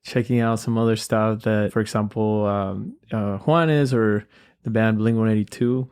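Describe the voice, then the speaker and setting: A man from Colombia with a Colombian accent, a guest musician chatting casually and thoughtfully in an interview, often pausing and restarting. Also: lowish voice, steady tone, a little mellow, clean tone.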